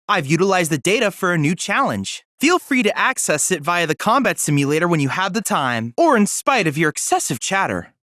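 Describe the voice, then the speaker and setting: A man's voice: on the high side, a bit bright, no echo, clean studio sound. A man voicing a polite, eager boy android researcher in a video game, speaking in formal, articulate, bookish sentences. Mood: briefing, confident. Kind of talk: scripted video game character dialogue.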